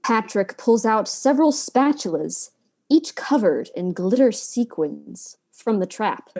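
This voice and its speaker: A woman narrating a comic mystery audio drama from a script, in a measured storyteller's way. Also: mid-range voice, lively intonation, mellow.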